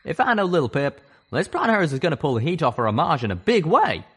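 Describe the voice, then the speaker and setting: A man's voice: fairly high pitch, very animated, quick pace, a bit bright, breathy edge, voice pushed up, heavily acted. A man playing a blunt pegasus pony buddy. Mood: rallying. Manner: blunt, grumbling. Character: gruff male pegasus pony friend.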